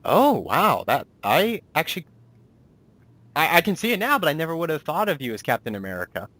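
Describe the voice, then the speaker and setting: A man's voice: a little high, sing-song, brisk, fairly bright tone, no room sound. A man hosting a casual, chatty interview in an enthusiastic, reactive manner. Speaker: interviewer, host.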